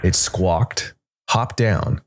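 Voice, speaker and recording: A man narrating a scripted dark fantasy story in a measured, deliberate way. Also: sits low, lively intonation. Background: music.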